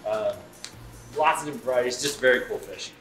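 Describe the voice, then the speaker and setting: Enthusiastic male shop host, mid-pitched, conversational unboxing commentary, roomy sound. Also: brisk, fairly bright tone, faint music behind. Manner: enthusiastic, chatty. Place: indoors in an aquarium shop.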